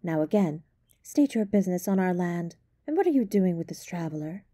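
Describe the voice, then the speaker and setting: A woman playing a stern, imperious High Elf archer in a fantasy roleplay, commanding and formal. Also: sing-song, brisk, warm tone, dry recording, studio quality. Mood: accusing. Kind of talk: fantasy roleplay audio drama.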